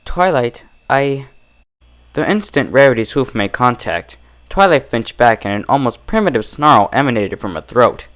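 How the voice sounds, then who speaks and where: A man's voice: medium pitch, expressive, normal speed, a bit bright. A man reading a story aloud as scripted, dramatic narration. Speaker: fan fiction narrator.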